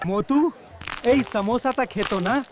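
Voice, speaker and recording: A man's voice: extremely high pitch, slow pace. A man voicing a cautious, nagging cartoon sidekick who scolds and warns his friend. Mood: alarmed warning. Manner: nagging, admonishing. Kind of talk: children's cartoon acting. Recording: loud music bed. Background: loud music.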